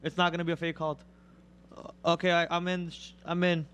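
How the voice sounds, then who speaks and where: A raspy young man hosting a live stream, talking through his trades and calling out prices as they move. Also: slight background noise. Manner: rapid excited play-by-play, gets loud and high when a stock moves. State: raspy voice from a cold. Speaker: day trader and co-host. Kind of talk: live trading commentary.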